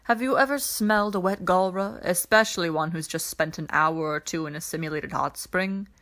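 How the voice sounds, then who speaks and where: A weary alien man as a woman reader plays him speaks in a measured, quiet way. He is wry and dryly teasing, like a practised storyteller in an audiobook. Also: fairly low pitch. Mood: smirking. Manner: dry, measured, quiet explanations.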